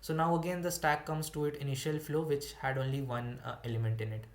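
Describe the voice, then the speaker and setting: A man's voice: fairly high pitch, fairly even intonation, a little fast. Young Indian man teaching in an echoey room. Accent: Indian English. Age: young adult. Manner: explains step by step with frequent fillers and restarts. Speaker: student instructor. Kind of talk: online coding workshop. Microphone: computer mic on a video call.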